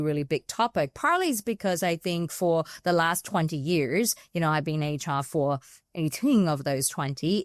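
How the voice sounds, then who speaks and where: A woman in her forties with a notably low voice answers interview questions in a reflective, unhurried way, circling back to rephrase her ideas. She grew up between Taiwan and New Zealand. The sound is close and dry, with no room echo. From Taiwan, raised in New Zealand. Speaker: HR consultant and author, podcast guest.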